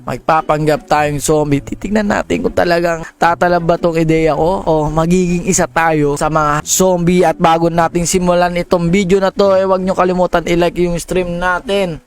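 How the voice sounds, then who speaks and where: A Filipino man hosting casual, jokey gameplay commentary, speaking straight to his viewers. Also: fairly high pitch. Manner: jokey, addresses viewers directly. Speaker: gaming content creator, host.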